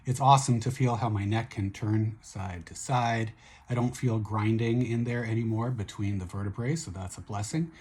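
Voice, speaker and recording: A middle-aged man with a hoarse voice, chatting casually in a video diary, upbeat and relaxed with many fillers and restarts. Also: fairly low pitch, moderate pace. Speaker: vlogger recovering from surgery.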